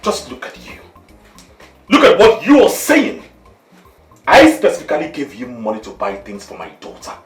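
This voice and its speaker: A man's voice: fairly high pitch, huge pitch swings, normal speed, pauses often, echoey room, faint music behind. An actor playing an indignant, protective father in a film drama, speaking in an accusing, emphatic way. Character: indignant, protective father confronting his selfish partner.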